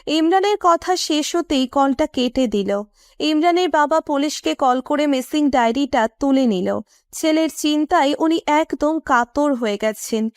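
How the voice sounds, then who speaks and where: A woman's voice: high voice, unhurried, no room sound, studio quality. A woman narrator reading a romantic story in scripted storytelling style.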